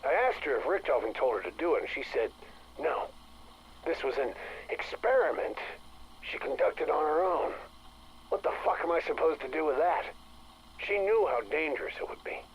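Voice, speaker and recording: An actor playing a shaken, guilt-ridden maintenance director, stammering through a recorded confession in a video game audio log. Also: male voice, fairly high pitch, big pitch swings, dull audio, slight background noise. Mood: agitated, bitter. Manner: halting, stammering.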